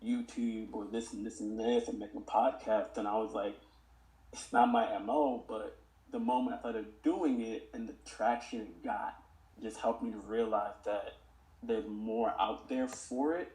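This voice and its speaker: A man's voice: on the low side, measured pace, a little mellow. Earnest young man in an echoey room. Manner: earnest, motivational, recites his lyrics. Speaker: podcast guest, youth mentor. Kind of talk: reflective conversational interview.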